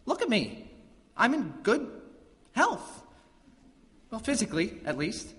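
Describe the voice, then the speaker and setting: A man's voice: high-pitched, wildly swooping pitch, slow, fairly bright tone, heavily acted. A man playing an amiable, awkward king of the underworld in a comic myth drama, earnest, wry and apologetic. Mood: defensive, wry. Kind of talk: comic mythological stage drama acting. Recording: reverberant hall, background room noise.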